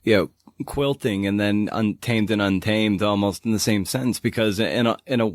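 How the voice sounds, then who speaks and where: A man's voice: on the low side, moderate pace, no room sound. A man hosting a podcast, speaking close to the microphone. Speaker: podcast host, interviewer.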